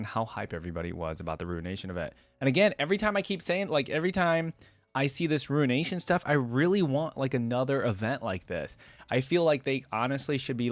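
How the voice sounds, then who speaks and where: A young man hosts a reaction video in a medium-pitched voice. He talks casually and muses aloud as he goes, with cinematic music clearly playing behind him. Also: no echo. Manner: musing, thinking aloud, conversational. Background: game cinematic music.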